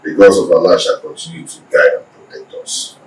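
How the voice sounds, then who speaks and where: A solemn village priest in a film drama, his deep voice rising and falling as he declaims. He is heard from afar in an echoey space. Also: wildly swooping pitch, brisk, recorded from a distance, a little hiss or hum.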